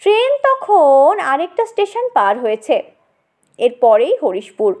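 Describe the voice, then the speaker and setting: A woman narrating a comic audio story in an expressive, theatrical way. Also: sits high, measured pace.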